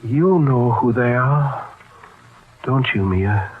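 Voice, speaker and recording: An actor playing a grave, knowing informant in a radio drama, formal and deliberate. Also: male voice, mid-range voice, noisy background, natural voice. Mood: grave, insistent. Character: grave, knowing man warning of a plot. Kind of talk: old-time radio drama acting. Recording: tinny old radio recording.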